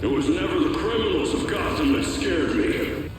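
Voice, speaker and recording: A bitter male villain declaims with righteous accusation in a high, lively voice, in a game cutscene. He sounds far off in heavy echo and loud noise. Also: moderate pace. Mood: bitter, accusing. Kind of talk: dramatic game cutscene acting. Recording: very echoey, distant, loud vehicle-like noise.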